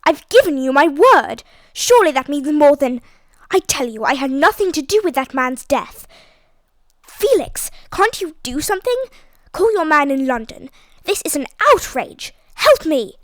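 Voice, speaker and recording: A pompous older lord, put on by a woman reader who bellows in outrage. Loud and indignant, raised high in a dry storybook recording. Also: sing-song, normal speed, no room sound. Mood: pleading. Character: blustering aristocratic older man, indignant.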